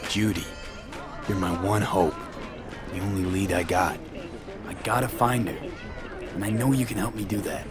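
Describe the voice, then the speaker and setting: Casting: actor for determined male mercenary, deep register, terse and controlled, naturalistic game dialogue with music underneath. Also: moderate pace, long pauses, natural voice. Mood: earnest, pressing. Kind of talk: naturalistic video game voice acting.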